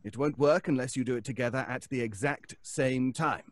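A man's voice: mid-range voice, moderate pace, no room sound, studio quality, close to an everyday voice. An actor playing a polite, upbeat lawyer in an audio drama, formal and wordy in his speech. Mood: formal, officious. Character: polite, fussy, upbeat male estate lawyer.

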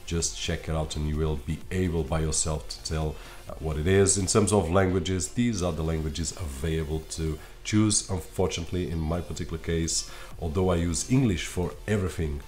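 Deep-voiced Portuguese-speaking man reviewing tech. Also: fairly even intonation, moderate pace, darkish tone. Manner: chatty, talks straight to viewers with frequent fillers. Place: home office with computer desk.

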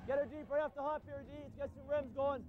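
A hockey coach shouting short instructions and encouragement to his players from the bench, recorded on a worn mic in an arena. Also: very high-pitched, steady tone, fast. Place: indoor ice arena bench. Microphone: wireless mic worn on the body. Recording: dull lo-fi body mic.

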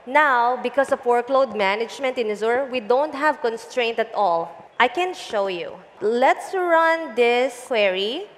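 A woman's voice: fairly high pitch, lively intonation, long reverb tail, slight background noise. Woman guest on a conference stage. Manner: measured, explanatory, some fillers. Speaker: guest, data engineering lead. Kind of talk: onstage tech interview. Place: large conference stage before an audience. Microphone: headset mic.

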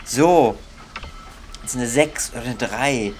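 A man's voice: medium pitch, slow. Grumbling young male gamer over background noise. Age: young adult. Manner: grumbling, comically exasperated. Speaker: let's player. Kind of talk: casual gaming commentary.

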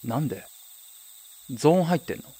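A man's voice: on the high side, lively intonation, a little fast, warm tone, breathy edge. An actor playing a hesitant, self-conscious young man looking for nostalgia in his hometown, in a naturalistic radio drama. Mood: incredulous. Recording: night insect ambience behind voice.

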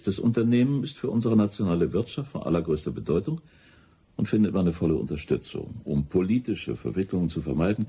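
An actor playing a formal, courteous British colonial official in a German radio drama, with an officious manner. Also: male voice, sits low, mellow, slightly rough, heavily acted.

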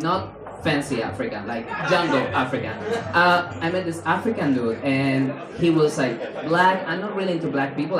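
A young man from Spain with a Spanish accent, performing a playful stand-up set on stage with a handheld mic in a small club. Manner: animated, playful, jumps into high mock voices for quotes. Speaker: stand-up comedian. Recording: roomy club sound from far off, audience laughing.

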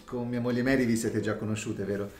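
An actor playing an older doctor, courteous and given to soft chuckles. His voice rings in a roomy space, with faint music underneath. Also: mid-range voice, fairly even intonation, fast, natural voice. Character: older doctor, affable and flattered husband. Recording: roomy echo.

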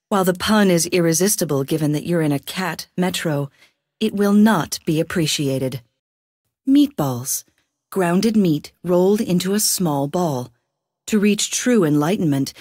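A woman's voice: fairly low pitch, no room sound. Dry, sarcastic woman narrating food entries. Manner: dry, sarcastic deadpan delivery. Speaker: in-game food description narrator. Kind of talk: scripted game voice lines. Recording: dry studio recording.

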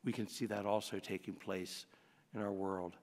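A middle-aged priest speaks from a lectern microphone, preaching in an earnest, conversational way. His voice sits on the low side. The sound carries the long reverb of a large church. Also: steady tone, moderate pace, darkish tone. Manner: earnest, conversational preaching with frequent "you know". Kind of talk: homily at Mass.

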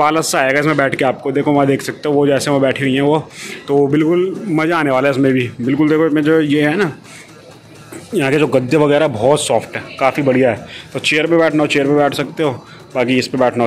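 A man vlogging a walking tour of a dog-friendly cafe, explaining everything in a chatty way while filming on his phone. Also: mid-range voice. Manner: chatty, explaining as he walks, filler-heavy. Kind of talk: casual walkthrough vlog. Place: dog cafe, indoor-outdoor seating.

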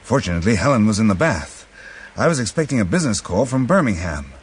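An actor playing an anxious, ailing father in a radio drama, speaking in a halting, worried way. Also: fairly low pitch, very animated, breathy edge, full character voice. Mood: anxious, troubled. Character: anxious, ailing father of a runaway grown son.

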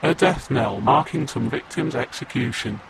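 A man playing a friendly Cyberman ally who speaks in a measured, formal way in a fan audio drama. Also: medium pitch, animated, slight background noise, lightly acted, low-quality recording.

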